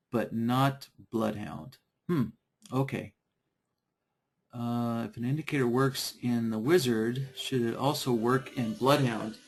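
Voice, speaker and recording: A man teaching trading software in a live online session, losing his voice from a dry throat and clearing it often, talking in a halting, unhurried way. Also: medium pitch. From Idaho. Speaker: software trainer. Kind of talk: live webinar screen-share tutorial.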